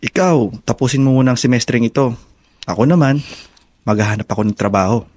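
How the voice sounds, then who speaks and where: Isang lalaking aktor na gumaganap bilang binatang kuya, taimtim at mapagpalakas-loob, sa dulang panradyo. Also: male voice, mid-range voice, a little fast, a little mellow, no echo, studio quality, close to an everyday voice. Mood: resolute, reassuring. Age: young adult. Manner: earnest, reassuring. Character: determined, protective young adult older brother. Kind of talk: Filipino radio drama acting.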